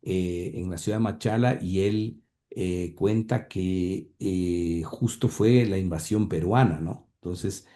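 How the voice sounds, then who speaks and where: A man's voice: low voice, slow pace, dark tone. A man from Ecuador, recounting his grandfather's life as an interview guest, hesitant with many fillers and restarts. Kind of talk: conversational storytelling.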